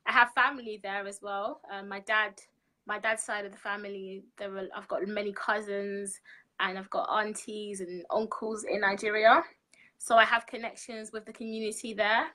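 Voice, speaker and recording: A woman, answering interview questions over a video call in a measured way, with frequent ums. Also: mid-range voice, flat delivery, pushed and bright. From London, UK. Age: 20s. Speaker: interview guest. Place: indoor room.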